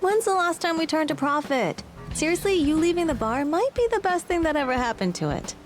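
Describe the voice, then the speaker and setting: Confident young daughter, video game, high-pitched, very lively intonation, teasing, self-assured. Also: female voice, fairly quick, soft background music. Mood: teasing reassurance.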